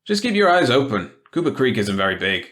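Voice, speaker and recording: A man's voice: medium pitch, sing-song, fairly quick, fairly bright tone. A man voicing a young small-town driver who speaks in a blunt, puzzled way.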